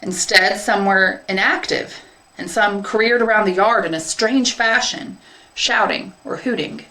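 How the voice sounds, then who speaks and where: A woman's voice: mid-range voice, a little slow, sharp tone, poor audio. A woman English teacher reading a short story aloud as scripted narration.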